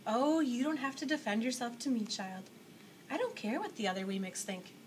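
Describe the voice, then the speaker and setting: A woman voicing a kindly, big bearded male woodcarver in a storybook, speaking with gentle, patient reassurance. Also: fairly high pitch, expressive, normal speed, a little hiss or hum, close to an everyday voice. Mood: reassuring.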